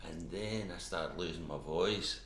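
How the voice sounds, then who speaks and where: A man in his seventies with a Scottish accent, telling his story in a casual interview, halting with many restarts. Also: sits low, fairly bright tone. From Scotland. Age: 70s. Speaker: interview guest. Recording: echoey room with faint background hum.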